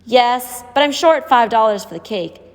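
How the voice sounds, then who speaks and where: A woman's voice: on the high side, lively intonation, moderate pace, pitched above the performer's own voice. A woman voicing an eager, good-natured, helpful street boy in a story, cheerful and earnest. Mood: earnest, generous. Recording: echoey hall-like reverb.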